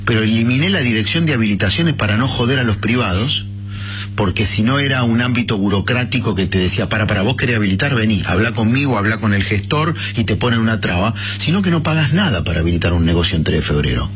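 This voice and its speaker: A man's voice: lowish voice, lively intonation, fast, fairly bright tone, faint music behind. A man in his fifties with an Argentine Rioplatense accent, a guest in a radio interview, talking bluntly and colloquially with conviction. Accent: Rioplatense Spanish. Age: 50s. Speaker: interviewed politician, former mayor.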